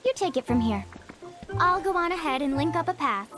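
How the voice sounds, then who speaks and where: Airy, high-voiced, enigmatic young woman. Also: animated, a little fast, over music. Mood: breezy, teasing. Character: cryptic, cheerfully mysterious young woman who knows all. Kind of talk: animated game cutscene acting.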